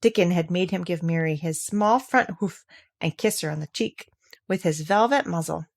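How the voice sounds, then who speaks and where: A woman's voice: fairly low pitch, moderate pace, gravelly. A woman reading a classic children's novel aloud as scripted storybook narration. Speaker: audiobook reader.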